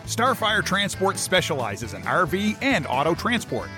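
A man reads an upbeat radio ad in a high, lively voice that rises and falls a lot. Clear guitar music plays behind him the whole time. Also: normal speed. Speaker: ad announcer. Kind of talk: read radio ad.

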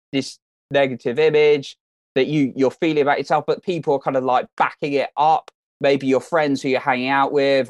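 A man's voice: medium pitch, no echo, studio quality. Earnest encouraging British-raised man. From United Kingdom. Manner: earnest, encouraging, repeats words while thinking. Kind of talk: casual interview advice.

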